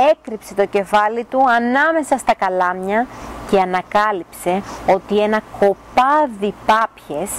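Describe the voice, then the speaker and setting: A woman's voice: medium pitch, rough recording. A young woman reading a children's fairy tale aloud expressively, recorded outdoors in a garden. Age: young adult. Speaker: storyteller reading a children's book. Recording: clear outdoor background noise.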